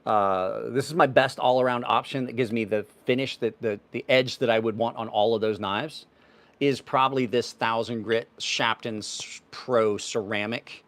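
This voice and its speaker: A man's voice: medium pitch, fairly even intonation, moderate pace, a bit bright. A man in his fifties hosting a knife livestream, answering viewers' questions in a rambling, offhand way. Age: 50s. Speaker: knife channel host. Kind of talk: casual livestream chat.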